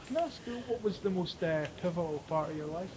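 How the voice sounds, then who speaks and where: A man doing casual street interviews, asking questions in a polite, halting way. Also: high-pitched, animated. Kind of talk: casual vox pop questioning. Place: outdoors on a city street. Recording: noisy outdoor street recording.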